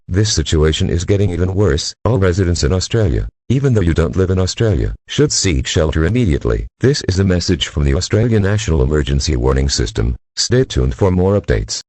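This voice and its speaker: A man with a low, rough-edged voice reads an emergency alert. His intonation swings widely, and the recording is dry. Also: darkish tone, no echo. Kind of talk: scripted emergency alert reading.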